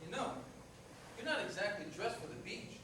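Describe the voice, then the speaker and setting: Man playing a high-voiced skeptical cabbie, very echoey. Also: lively intonation, normal speed. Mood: friendly, obliging. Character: skeptical, easygoing male cab driver. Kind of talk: live staged play reading. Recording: noisy, very echoey hall, distant mic.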